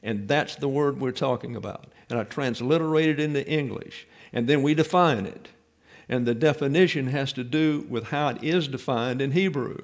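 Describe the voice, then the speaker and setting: A male pastor gives a Bible teaching sermon in an explanatory, conversational way, stopping often with fillers and restarting phrases. His voice sits at an ordinary middle pitch. He presses firmly on the key commands he wants the congregation to take home. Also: moderate pace.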